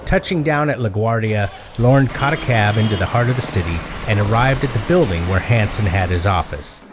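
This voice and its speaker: A man delivering scripted comedic storytelling as narrator, in a deliberate, mock-earnest way with emphatic phrasing. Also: lowish voice, background noise.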